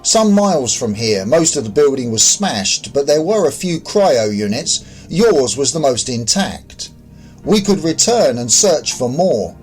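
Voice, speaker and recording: A man's voice: mid-range voice, sing-song, a little slow, faint music behind. A man voicing an ancient, patient otherworldly visitor who explains things in a sci-fi audio story. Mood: explaining.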